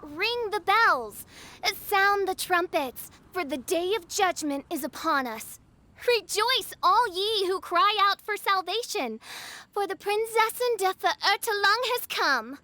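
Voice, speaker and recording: Casting: theatrical, haughty girl princess in a game, high voice, pompous declamation. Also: lively intonation, slow pace, sharp tone, heavily acted. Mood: triumphant proclaiming. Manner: grandiose, archaic, florid declamation. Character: haughty theatrical young self-styled princess of doom.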